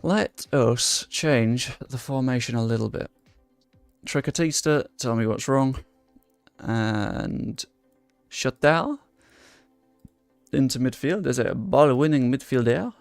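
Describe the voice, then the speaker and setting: Young guy rambling over his football game, gravelly voice, dry mic, quiet music behind. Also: medium pitch, lively intonation, slow pace, no echo. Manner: chatty, swears under his breath, talks to viewers and chat. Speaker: gaming YouTuber. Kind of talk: casual live commentary over a football management game.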